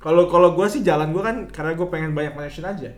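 A man's voice: a little high, very fast. A man in his twenties with a Jakarta accent, chatting casually and informally in colloquial slang. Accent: Jakarta Indonesian. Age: 20s. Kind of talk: casual chat. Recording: echoey room.

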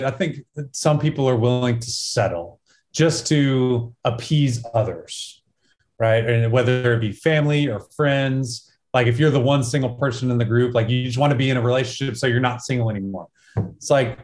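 A man's voice: mid-range voice, darkish tone. A man from Texas speaking casually as a podcast guest, giving earnest advice in short phrases. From Texas, United States. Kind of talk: casual conversational podcast talk.

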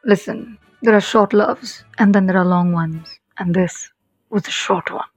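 Una actriz como esposa joven, inquieta, de tono medio. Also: female voice, medium pitch, unhurried, soft background music, close to an everyday voice. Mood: blunt, detached. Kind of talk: audio play acting.

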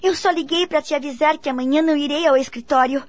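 Actress as worried, courteous young Brazilian woman, radio drama; high voice, hesitant, closely recorded. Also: fast talker, no echo, close to an everyday voice. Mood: worried, affectionate. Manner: polite, hesitant. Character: anxious, polite young working woman in love.

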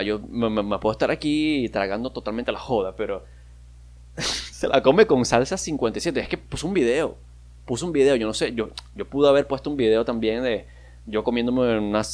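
A young man from Maracaibo with a Venezuelan maracucho accent, hosting a casual solo podcast, rambling and joking at himself. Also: mid-range voice. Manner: rambling, self-mocking, with frequent fillers and laughs. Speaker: podcast host.

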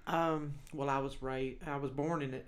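A woman's voice: very deep voice, steady tone, measured pace. A woman with a Texas accent, a guest minister sharing her testimony in an earnest storytelling way. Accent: Texan. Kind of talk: personal testimony.

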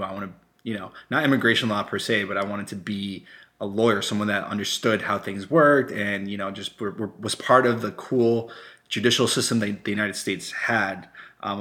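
A man's voice: fairly low pitch, a bit bright. A man from Colombia co-hosting a casual podcast about working as a lawyer, talking in a laid-back, joking way. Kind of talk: casual chat.

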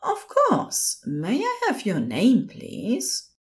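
A prim, patient male nurse from an audio story, acted by a woman. He speaks high and very sing-song, with courteous, formal phrasing and a calm, soothing manner, taking his time over each phrase. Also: very slow, exaggerated voice.

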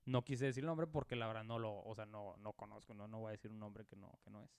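Mexican man, clear relaxed voice. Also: on the low side, fast talker, no room sound. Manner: relaxed, joking, rambling with restarts. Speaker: podcast co-host. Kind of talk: casual chat.

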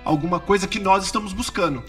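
A man's voice: high voice, fast, a bit bright, faint music behind. A Brazilian man hosting a casual business interview, joking and teasing his guest. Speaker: host.

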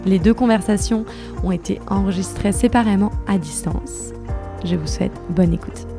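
A woman hosting a yoga podcast, introducing the episode and asking gentle, slightly hesitant questions. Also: mid-range voice, normal speed, gravelly, music in the background. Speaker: podcast host, interviewer.

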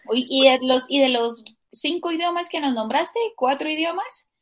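A woman's voice: high voice, moderate pace. A woman co-hosting a casual podcast interview, chatty and polite, asking questions with many fillers. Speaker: co-host.

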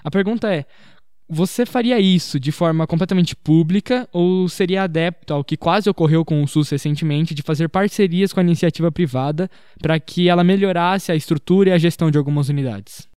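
A man's voice: on the high side, brisk, darkish tone, clear, resonant tone, no room sound, studio quality. A teenage boy, hosting a student interview podcast in a formal, scripted manner. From Brazil. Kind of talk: prepared podcast introduction and interview questions.

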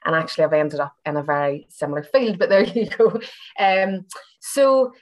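A woman's voice: on the low side, lively intonation, moderate pace. A woman from Belfast with a Northern Irish accent, talking in a chatty, reflective, slightly hesitant way as an interview guest. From Belfast, Northern Ireland. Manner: chatty, hesitant, circling back with hedges. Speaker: university senior lecturer, interview guest. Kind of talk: casual reflective personal interview.

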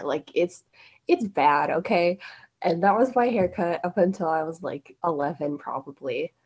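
A teenage girl talks casually and chattily, with her speech packed with fillers. Her voice sits a little on the low side for a girl. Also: darkish tone, slightly rough. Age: teens. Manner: chatty, filler-heavy, giggly. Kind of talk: casual group conversation.

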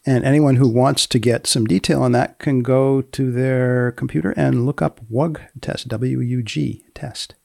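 A man hosting a podcast interview, asking questions in a deliberate, deadpan way. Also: medium pitch, gravelly, dry recording, studio quality. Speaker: host, magazine editor. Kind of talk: podcast interview with scripted introduction.

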